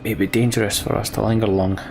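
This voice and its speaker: A man's voice: lowish voice, level tone, fast talker, creaky, music in the background. A man voicing a grim, blunt warrior in a fantasy war game, terse and sour.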